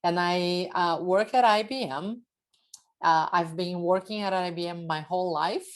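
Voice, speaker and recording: A woman's voice: fairly low pitch, measured pace. A middle-aged woman with a Brazilian accent and native Portuguese, giving an informal, chatty talk as a guest speaker. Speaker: guest speaker, technology executive. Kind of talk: informal online presentation.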